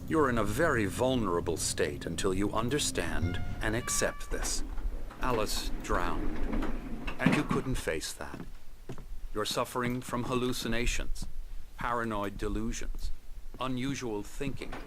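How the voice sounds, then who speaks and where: Game cutscene role, male actor: patronising male clinic doctor, deeper register, controlled and soothing delivery, clearly noisy capture. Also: natural voice. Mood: insistent, persuasive. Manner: measured, patronising, reassuring. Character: smooth, condescending male psychiatrist running a clinic. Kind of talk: video game cutscene acting.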